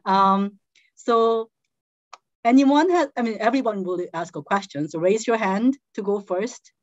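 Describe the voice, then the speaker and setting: A woman facilitating an online game on a video call, explaining steps patiently with soft laughs. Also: mid-range voice, measured pace, dry recording. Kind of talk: casual guiding of an online game. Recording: dull, dry video call audio.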